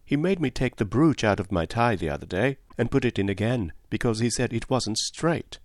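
A man's voice: medium pitch, lively intonation, normal speed, no echo, clean studio sound, lightly acted. A male narrator voicing a kind, candid young English woman who talks in an earnest, confiding, slightly plaintive way. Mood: bright, fond. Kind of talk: audiobook character voice.

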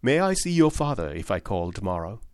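Guy voicing a cool sharp detective, unbothered, dry studio sound. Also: fairly low pitch, brisk, smooth, no room sound. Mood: calm assured. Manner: calm, dryly assured. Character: keen, cool-headed male detective, dry and assured.

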